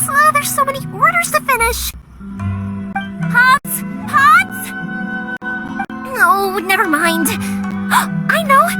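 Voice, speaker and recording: An actress voicing a cheerful young woman who makes fireworks and talks in a bubbly, quick, animated way. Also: very high-pitched, exaggerated voice. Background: loud game background music.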